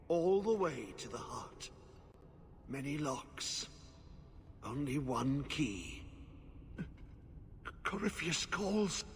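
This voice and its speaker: A man playing a half-mad, tainted old former commander in a video game, speaking in halting, cryptic fragments with a voice that sometimes thins to a breathy whisper. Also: fairly high pitch. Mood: ominous. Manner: halting, cryptic broken phrases. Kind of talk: video game character acting. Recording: echoing hall with noisy ambience.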